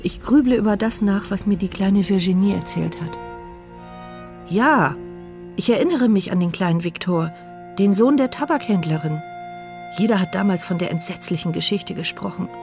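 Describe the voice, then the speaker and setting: A woman's voice: medium pitch, animated, normal speed, a little mellow, soft background music, natural voice. An actress playing a blind, mute woman narrating her thoughts with a wry, sardonic edge. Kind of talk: radio play inner monologue.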